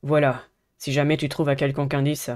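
A man voicing a polite, earnest teenage schoolboy in a dating game, measured. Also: fairly high pitch, completely flat delivery, darkish tone, close to an everyday voice. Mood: calm, earnest.

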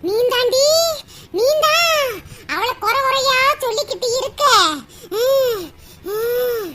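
A woman playing a daughter-in-law possessed by a fierce goddess, who laughs, shouts and threatens her mother-in-law. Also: extremely high pitch, slow pace, background noise. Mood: fierce, mocking.

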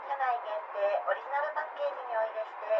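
A woman with a fairly high voice reads out polite, formal announcements about the dining car and onboard sales. She is heard through a train's loudspeaker from some distance, and heavy rolling noise sits under her voice. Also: rapid-fire, dull audio. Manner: polite, formal service speech. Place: inside a moving train.

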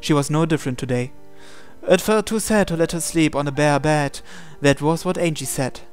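A man's voice: on the high side, normal speed, clear, resonant tone. A man reading a visual novel aloud as narration, sometimes stumbling and repeating phrases. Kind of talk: dramatic read-aloud narration with asides. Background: game music.

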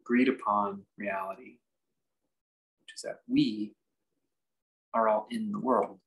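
Man guiding a meditation in halting phrases. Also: on the low side, fairly even intonation, vocal fry, rough recording. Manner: measured, halting phrases with reflective pauses. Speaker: meditation teacher. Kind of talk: guided meditation, unscripted instruction.

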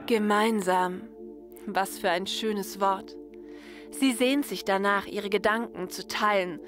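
A woman's voice: mid-range voice, steady tone, slow pace. A woman reading scripted narration for a science-fiction audio story. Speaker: narrator and writer. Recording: music under the voice. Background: music.

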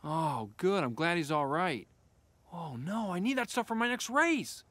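A man playing a cocky, sarcastic young race car in an animated video game, breezy and smug. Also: high voice, a little slow, dry recording. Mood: worried, determined.

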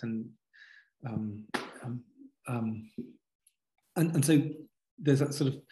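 Fifties male author guest, earnest and halting, video call from home. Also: mid-range voice, rough recording. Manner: halting, many restarts and fillers, earnest. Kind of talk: reflective conversational interview. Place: home study with bookshelves. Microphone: video call webcam.

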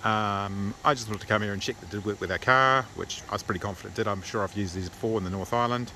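A man with a New Zealand accent casually narrating an electric car road trip, relaxed and full of filler words. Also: lowish voice, fast. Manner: relaxed, chatty, many uh fillers. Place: inside a moving car and outdoors at chargers. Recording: noisy car and street background.

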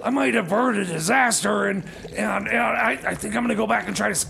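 A man playing a high-pitched, frazzled mechanic. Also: fairly quick, gravelly, bright, forceful tone, slight background noise, heavily acted. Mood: rambling, earnest. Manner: stammering, repetitive, flustered. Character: frazzled, rambling mechanic and time traveller Jeb. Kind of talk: comedy radio theater, semi-improvised.

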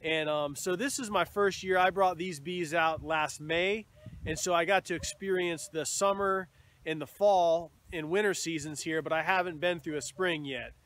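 A man in his thirties, a beekeeper, explaining things in a relaxed, casual way to a phone held at arm's length outdoors. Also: fairly high pitch, normal speed. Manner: relaxed explanatory talk with fillers. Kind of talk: casual instructional vlog. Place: outdoors at a bee yard. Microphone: phone camera at arm's length. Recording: faint outdoor noise.

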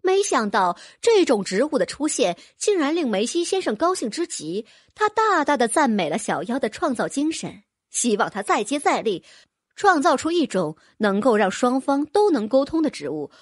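A woman with a high, bouncy voice narrating a fantasy novel. Her reading swings widely in pitch, recorded close on a dry mic. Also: moderate pace, dry recording. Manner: lively, expressive reading. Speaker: audiobook narrator. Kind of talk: scripted narration.

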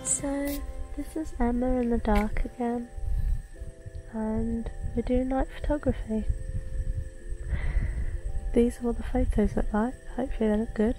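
A woman's voice: on the high side, steady tone, a little slow, long pauses, low-quality recording. A woman in her twenties chatting casually to camera as a travel vlogger. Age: 20s. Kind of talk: casual vlog chat to camera. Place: inside a moving car, later outdoors at night. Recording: loud music over the voice.

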